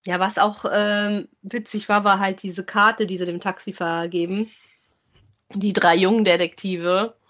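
A woman, co-hosting a relaxed, conversational review of audio dramas. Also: mid-range voice, a little slow. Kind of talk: casual review chat.

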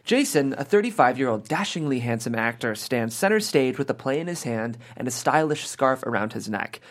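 A man's voice: mid-range voice, expressive, a little fast. A man narrating stage directions from a screenplay at a table read.

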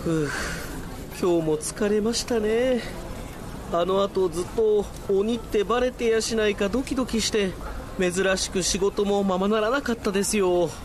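A man's voice: sits high, fairly even intonation, moderate pace, noisy background, lightly acted. A man voicing an earnest, polite demon who works as a strict, anxious office accountant in a comic radio drama. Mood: weary relief. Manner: prim, polite speech, anxious and stiff.

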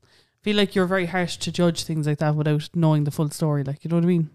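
A chatty Irish woman in her late twenties, talking casually on a podcast and filling her sentences with "like" and the odd swear word. Her voice is smooth and clear, recorded dry and close with no room sound. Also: sits low, steady tone, fast talker, a little mellow, dry recording. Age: late 20s. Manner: chatty, swearing, says "like" a lot. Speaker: podcast co-host. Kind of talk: casual chat.

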